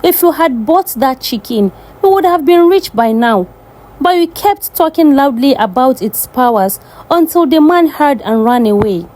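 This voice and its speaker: Woman as a scheming, high-pitched old sorcerer. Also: animated, moderate pace, a little mellow, a little hiss or hum. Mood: quarrelsome. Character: two scheming, quarrelsome old male sorcerers. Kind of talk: folktale storytelling.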